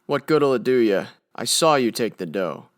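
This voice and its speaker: A man's voice: medium pitch, animated, normal speed, lightly acted. A man playing a tough, cool crime photographer in 1940s radio style, terse and full of hard-boiled slang. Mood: defiant, cool.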